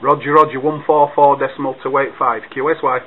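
A man makes a ham radio contact in brisk, clipped phrases at a middle pitch. The recording is narrow and phone-like, with a faint hiss behind him. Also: flat delivery. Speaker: amateur radio operator.

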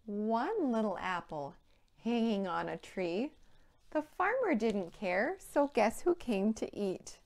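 An American woman in her thirties presenting a children's storytime, speaking clearly and animatedly in simple phrases to young children. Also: mid-range voice, very animated, slow pace, darkish tone. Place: indoor children's play room.

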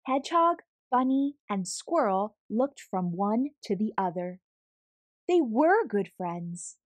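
A woman reading a picture book aloud to children in an expressive, deliberate, theatrical style. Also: medium pitch, no echo. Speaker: children's story reader.

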